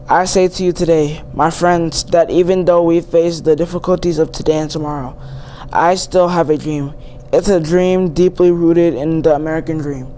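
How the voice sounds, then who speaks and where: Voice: medium pitch, a little mellow. A young teen student reading a famous speech aloud. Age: young teen, seventh grader. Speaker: student reader. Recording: noisy amateur recording.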